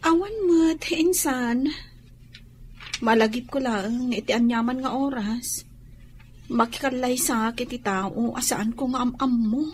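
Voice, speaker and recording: A young woman acting in an Ilocano radio drama as a dutiful, guarded and deferential employee, with a husky voice. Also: fairly high pitch, unhurried, a little hiss or hum, natural voice. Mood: troubled.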